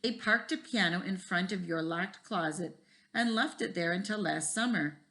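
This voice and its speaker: A woman's voice: on the low side, moderate pace, sharp tone. A woman voicing a friendly, easygoing male computer teacher in a storybook, with a folksy, explanatory way of talking. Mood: reminiscing.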